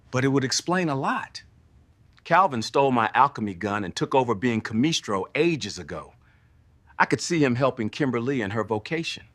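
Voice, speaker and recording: A man's voice: mid-range voice, a bit bright. A man playing a remorseful middle-aged father and reformed villain in a motion comic.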